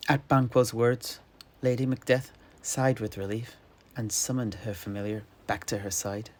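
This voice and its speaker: A man narrating a fantasy story dramatically, in measured, ornate phrases. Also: medium pitch, little pitch movement.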